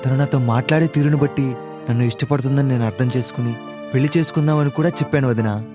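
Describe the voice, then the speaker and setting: Fast-talking earnest young man acted by a man. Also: medium pitch, fairly even intonation, natural voice. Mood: earnest, anxious. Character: earnest lovesick young brother-in-law. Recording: music behind the voice.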